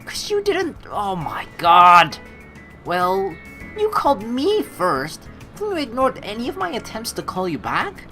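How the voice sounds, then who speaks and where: A young woman reading a visual novel aloud with joking, swearing asides, animated and quick to laugh. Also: medium pitch, soft background music. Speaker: let's play streamer reading a visual novel.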